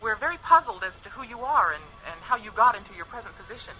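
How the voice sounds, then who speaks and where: A woman's voice: fairly high pitch, lively intonation, fast. An actress playing an earnest, analytical spaceship crewwoman in a radio drama, heard through a radio filter. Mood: urgent, insistent. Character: Margot, earnest analytical female spaceship crew member from the future. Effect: radio transmission filter. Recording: muffled, noisy radio transmission.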